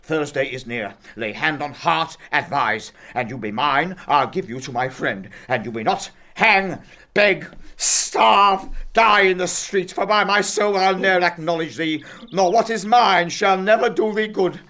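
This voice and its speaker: A man's voice: high-pitched, measured pace, exaggerated voice. An actor playing a tyrannical, hot-tempered father and lord of the house in a declamatory Shakespearean style. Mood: threatening. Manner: declamatory, swinging from hushed menace to furious shouting. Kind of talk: Shakespearean stage drama acting.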